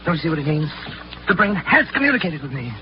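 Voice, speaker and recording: High-strung obsessed doctor, old 1940s radio drama, stammers, voice jumps around, muffled noisy recording. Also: male voice, very animated. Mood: feverishly excited. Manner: agitated, halting, stammering.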